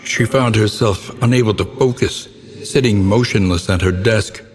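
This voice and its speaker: An actor playing a grave, ominous narrator of gothic horror tales in a video game, with a solemn, theatrical and deliberate delivery. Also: male voice, lowish voice, close to an everyday voice. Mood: grave, macabre. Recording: long cavernous reverb, noticeable background noise.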